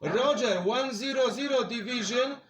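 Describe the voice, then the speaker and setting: Male CB radio operator, native Polish speaker with Polish accent, extremely high voice, repetitive procedural calls, roomy echoing sound. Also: normal speed, noisy background. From Poland. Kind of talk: radio contact calls in short repeated phrases.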